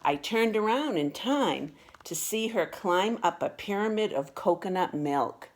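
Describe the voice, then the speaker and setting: A woman reading a children's picture book aloud with expressive, deliberate storytelling delivery. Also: medium pitch. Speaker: storybook reader.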